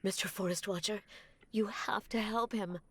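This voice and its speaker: A woman's voice: medium pitch, steady tone, normal speed, crowd noise, close to an everyday voice. A woman playing a worried, polite village mother in a video game. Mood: anxious, pleading.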